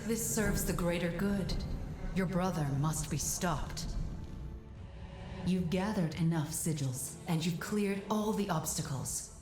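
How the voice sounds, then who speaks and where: Casting: composed female construct guide, fantasy game, slightly low voice, formal and instructive. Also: slow pace. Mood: resolute. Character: calm, devoted female construct guiding a warrior. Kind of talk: fantasy video game acting. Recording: echoing, with loud music. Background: game music.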